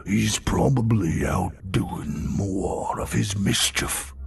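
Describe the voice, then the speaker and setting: A man's voice: on the low side, expressive, vocal fry, husky, full character voice. A man playing a stern, commanding, gloomy rescuer hero in an audio fantasy story. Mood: gloomy, hushed. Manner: dictatorial, deliberate.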